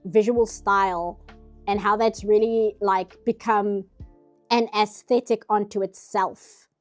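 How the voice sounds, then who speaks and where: A woman's voice: medium pitch, fairly even intonation, takes it slowly, soft background music. A nasal-sounding woman streaming herself painting, chatting casually in rambling asides. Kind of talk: casual chat while drawing.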